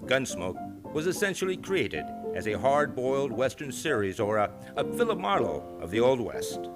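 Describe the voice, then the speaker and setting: A male announcer hosts in old-time radio style. His medium-pitched voice moves in lively, grandiose swings, full of theatrical showmanship. Plucked guitar music plays clearly behind him. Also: moderate pace. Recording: live theater show with music.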